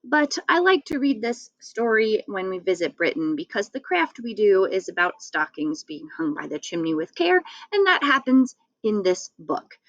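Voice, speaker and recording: Female children's read-aloud host, expressive and swooping in pitch, recorded dry with a slightly muffled tone. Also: normal speed, few pauses, dry recording. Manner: expressive, addressing children directly.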